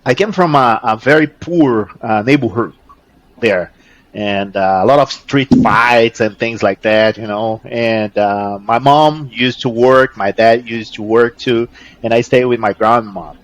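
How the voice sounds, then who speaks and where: A man with a Brazilian accent and Portuguese as his first language speaks at a medium pitch in a dry, close sound. He talks casually as an interview guest, often repeating words while he hunts for the next one. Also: dry recording. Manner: halting, searching for English words, repeats words. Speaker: interview guest, jiu-jitsu athlete. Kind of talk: casual conversational interview answers.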